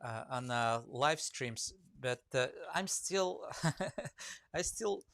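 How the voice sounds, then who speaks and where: A man with a Russian accent talks loosely to his live-stream viewers, full of ums and restarts. His voice sits at an ordinary middle pitch. The sound is dry and close, with no room echo. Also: animated, drawn-out words, gravelly, a bit bright. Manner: rambling, many fillers, reads comments aloud. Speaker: woodcarving teacher live-streaming.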